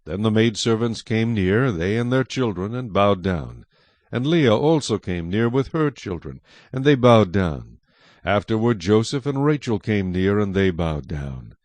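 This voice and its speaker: A man narrating scripted scripture in a solemn, measured storytelling manner. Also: fairly low pitch, sing-song, a little mellow, no room sound.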